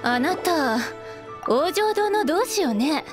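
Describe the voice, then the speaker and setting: Curt, high-voiced young woman. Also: sing-song, slow, exaggerated voice. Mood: irritated. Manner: curt, stern. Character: curt, irritated young woman shooing away salesperson. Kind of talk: Japanese anime game voice acting. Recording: music under the voice.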